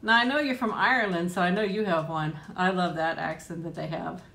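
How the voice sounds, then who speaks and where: A woman's voice: fairly even intonation, a little fast, gravelly, fairly bright tone. A woman in middle age hosting a casual live chat with viewers at home, rambling and laughing often, with frequent ums. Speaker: host of a live chat and eating stream. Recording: echoey room with faint rumble.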